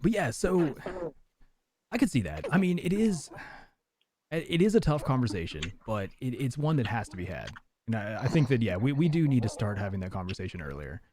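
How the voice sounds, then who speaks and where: A man's voice: medium pitch, very animated, a little fast, darkish tone. A young man from the American South hosting a podcast, talking in an earnest, chatty way over a headset mic at home. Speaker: podcast host. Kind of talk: casual chat. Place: home room with bicycle.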